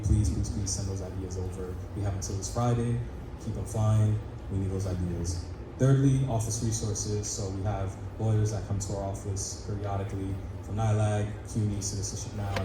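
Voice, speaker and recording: A man from a council member's office giving quick, casual announcements at a community meeting. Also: fairly low pitch. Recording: echoey hall, heard from far off.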